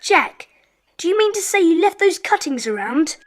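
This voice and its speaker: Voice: very high voice, sing-song, normal speed. A performer playing a bossy, decisive British schoolboy club leader in a children's audio drama, speaking emphatically. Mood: cross. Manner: emphatic, commanding.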